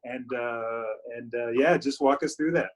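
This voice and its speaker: A man hosting a youth group chat on a video call, talking casually and haltingly with many fillers and restarts. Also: mid-range voice, a little hiss or hum. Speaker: youth program host.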